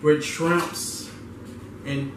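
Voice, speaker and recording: A man's voice: on the high side, flat delivery, extremely slow, heavy reverb, distant mic, slight background noise, poor audio. A young man co-hosting a casual home food vlog, giving laid-back, brief replies. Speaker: co-host. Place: home kitchen and dining room indoors.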